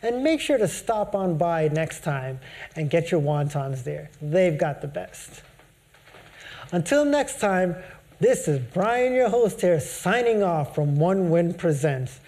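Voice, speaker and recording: A man's voice: high voice, sing-song, a little slow, mellow. A man hosting a show, reading a scripted, upbeat-worded outro. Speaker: host. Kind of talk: scripted show sign-off narration.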